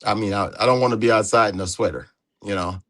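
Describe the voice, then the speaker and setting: A man hosting a casual livestream chat, easygoing and quick to laugh as he puts questions to his guests. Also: fairly low pitch, fairly bright tone. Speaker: livestream host.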